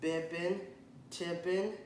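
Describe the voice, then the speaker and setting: A man reading a children's picture book aloud to a child, stopping to point at the pictures and ask questions, and often clearing his throat. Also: sits high, level tone, slow pace, a little mellow. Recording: echoey room, faint background noise.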